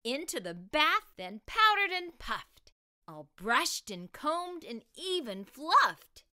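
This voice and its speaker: Bright, animated woman storyteller. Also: sits high. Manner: expressive, deliberate delivery for young children. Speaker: children's storytime host and reader. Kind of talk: read-aloud storytelling for children. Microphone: lapel mic.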